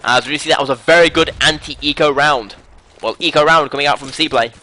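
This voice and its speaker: A man's voice: fairly high pitch, a little fast, pushed and bright, low-quality recording. A young British man casting a live esports match, upbeat and rambling, joking between rounds on a headset mic. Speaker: esports caster. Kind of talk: live game commentary.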